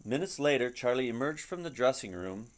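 A middle-aged man reads a picture book to children from his living room. His mid-pitched voice moves up and down freely, with dramatic, expressive storytelling. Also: fairly quick. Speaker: storybook reader. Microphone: webcam at about arm's length.

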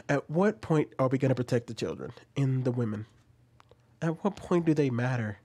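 A man in his thirties hosting casual commentary at home into a studio mic, talking with animated, questioning emphasis. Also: medium pitch, normal speed, slightly rough. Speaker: commentary host. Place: small room at home, indoors. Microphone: studio mic on an arm, wearing headphones.

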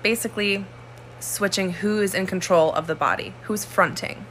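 A woman calmly explaining something in a short video monologue. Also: medium pitch, moderate pace, slight background noise, poor audio.

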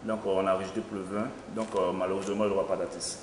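Man, young adult, low voice, halting, filler-heavy, echoey studio. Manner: hesitant, many fillers, lively bursts. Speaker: event organizer. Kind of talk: interview answers. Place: small studio with logo backdrop. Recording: echoey studio room, faint noise.

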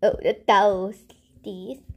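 A woman's voice: mid-range voice, level tone, extremely slow, darkish tone. A woman hosting a gaming channel, casually thinking aloud over gameplay in a hesitant way, often trailing off into murmurs.

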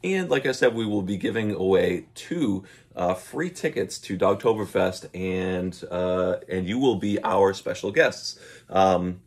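Guy in his 30s, kinda low voice, laid back vlog chat in a room at home, bit hesitant. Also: expressive, measured pace. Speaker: vlogger and dog owner. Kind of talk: casual unboxing chat to camera. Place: small room at home, indoors. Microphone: camera mic at arm's length.

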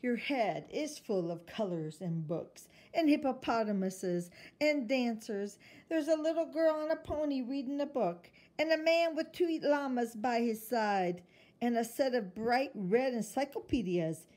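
A woman's voice: on the high side, lively intonation, unhurried, a little mellow. A woman voicing a kindly, good-humoured mother in a children's storybook, speaking in a reassuring way. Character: gentle, good-humoured, indulgent mother of a little girl.

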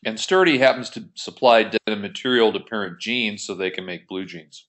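A man's voice: fairly low pitch, normal speed, fairly bright tone. A man teaching as an instructor in an explanatory tutorial, methodical and informal in his delivery. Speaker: accounting instructor. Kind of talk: explanatory screen-share tutorial.

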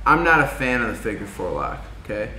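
A man's voice: on the low side, sing-song, fairly quick, sharp tone. Echoey, laid-back male martial arts coach. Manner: laid-back, informal, slangy, checks in often. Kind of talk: casual hands-on technique demonstration. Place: indoor gym with mats and padded walls. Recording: echoey room with background noise.

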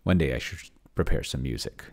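Very deep, gravelly man thinking aloud. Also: expressive, a little fast. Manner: rambling, muttering asides to himself. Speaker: host of a solo roleplaying demo stream. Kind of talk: casual screen-share walkthrough, thinking aloud. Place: indoors at a desk, on webcam.

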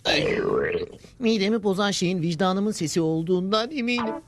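A man's voice: sits high, moderate pace, natural voice. A man voicing a dim, self-righteous, easily bribed cartoon dog in a melodramatic, pompous monologue. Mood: whiny. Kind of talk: Turkish cartoon dub. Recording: music behind the voice.

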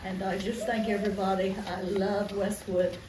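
A woman's voice: mid-range voice, level tone, normal speed. Far from the mic, with noise behind her, a woman in her nineties reminisces in an echoey space. She gives a heartfelt testimony to her church, speaking informally about her memories. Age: 90s. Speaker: church member giving testimony. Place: barn gathering.

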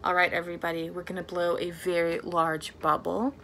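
A woman in her thirties leading a storytime for young children as a librarian, talking in an animated way with simple, exaggerated phrasing. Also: lowish voice, brisk, a little hiss or hum. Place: small plain indoor room.

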